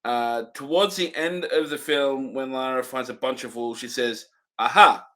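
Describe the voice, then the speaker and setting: An easygoing young man chats as a review co-host and laughs readily. When he reads trivia from his notes, he keeps his ordinary middle pitch. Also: steady tone, normal speed, a bit bright. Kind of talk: casual review chat.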